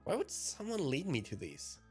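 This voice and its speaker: A man's voice: a little high, wildly swooping pitch, moderate pace, voice pushed up. A man voicing a polite, hesitant and anxious hero with a deferential manner in a visual novel read aloud. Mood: puzzled, hesitant. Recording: music behind the voice.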